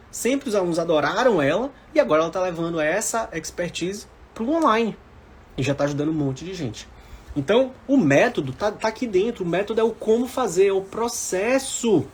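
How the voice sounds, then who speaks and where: A man's voice: a little high, husky. A man of about forty with a Brazilian accent, giving informal motivational coaching to live-stream viewers, emphatic and repeating his key phrases. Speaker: mentor for civil service exam candidates.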